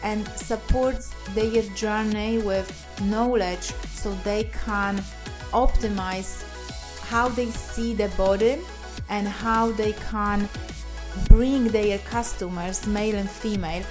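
A woman's voice: medium pitch, steady tone, takes it slowly, music in the background. A woman from Poland with a Polish accent, hosting a podcast interview, effusive and full of uh and um fillers. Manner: warm, effusive, many fillers. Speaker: podcast host.

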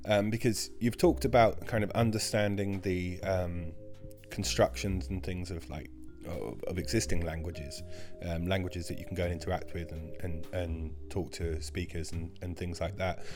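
A man's voice: low-pitched, fairly even intonation, moderate pace, music in the background. A man interviewing a guest, asking long, hesitant questions full of "um" and "kind of". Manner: hesitant, lots of "um" and "kind of", restarts.

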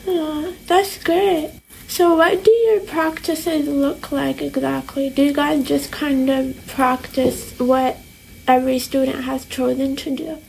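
A woman's voice: high-pitched, level tone, slow pace, vocal fry, darkish tone, low-quality recording. A young woman asking questions casually as a podcast interviewer, over faint background noise.

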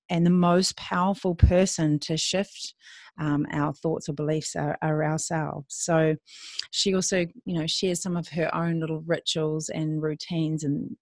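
A woman's voice: deep voice, no echo. A woman hosting a podcast, introducing her guest with lots of fillers and gushing praise. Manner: warm, gushing, many fillers. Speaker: podcast host.

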